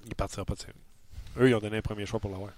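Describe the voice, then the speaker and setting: Low-voiced man pressing questions. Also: little pitch movement, rapid-fire, darkish tone. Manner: pointed questions, teasing. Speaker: hockey panelist. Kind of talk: casual sports debate.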